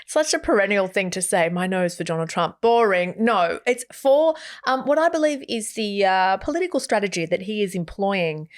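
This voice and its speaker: A woman's voice: mid-range voice, animated. Wry Australian woman co-host. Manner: dry, wry, measured. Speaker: podcast co-host. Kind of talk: casual podcast chat.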